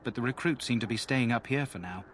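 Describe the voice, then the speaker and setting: A man acting as a helpful camp guard in a fantasy game. He is chatty and folksy at a middling pitch, with faint ambient noise behind him. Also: fast talker, fairly bright tone, close to an everyday voice. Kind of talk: video game character dialogue.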